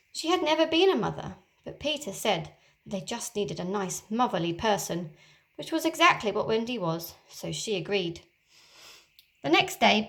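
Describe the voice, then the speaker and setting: A teacher reading a children's storybook aloud in a lively, expressive way. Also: female voice, medium pitch, creaky.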